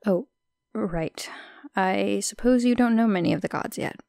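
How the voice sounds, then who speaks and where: A young woman playing a shy, friendly, modest minor goddess in an audio roleplay, chatting hesitantly with soft asides. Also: medium pitch, unhurried, vocal fry, dry recording, clean studio sound. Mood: helpful, friendly.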